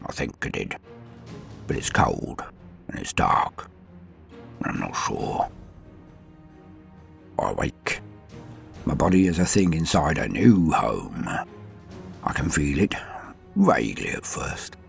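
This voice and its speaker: Very deep, gravelly old warrior sergeant played by a man. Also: big pitch swings, soft background music, full character voice. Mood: weak, awed, then humbled, reverent. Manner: solemn, halting, dramatic delivery with swings between murmur and force. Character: dying veteran space-marine sergeant, stoic, proud, dutiful, centuries old. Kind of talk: scripted first-person audio drama monologue.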